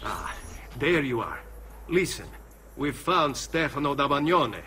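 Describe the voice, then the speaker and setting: An actor voicing a brisk mercenary scout in a video game. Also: male voice, mid-range voice, big pitch swings, sharp tone, slight background noise. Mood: eager. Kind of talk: video game cutscene acting.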